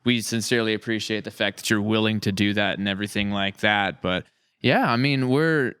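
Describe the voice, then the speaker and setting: A man's voice: lowish voice, brisk, fairly bright tone, clear, resonant tone, no echo. A man with a clean, resonant voice hosts a casual interview podcast. His delivery is effusive and appreciative, and the sound is dry and close. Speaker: podcast host and series creator.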